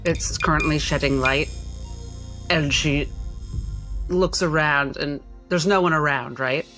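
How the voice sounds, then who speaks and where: A woman's voice: sits low, takes it slowly, fairly bright tone. A woman playing a tabletop roleplaying game, describing her character's actions in the third person, piece by piece and a little hesitantly. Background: music.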